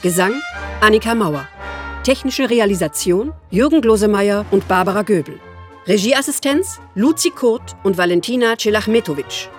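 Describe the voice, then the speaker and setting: A woman reading scripted radio drama credits in a measured, formal manner. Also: mid-range voice, animated, faint music behind.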